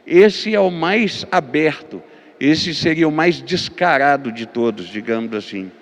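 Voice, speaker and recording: A man's voice: a little high, expressive, measured pace, in a large hall. A Brazilian man with a Minas Gerais accent, a pastor giving a lecture-style teaching, hesitating with fillers and repeated words, then driving his points home with emphatic lists. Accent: Brazilian Portuguese, Minas Gerais.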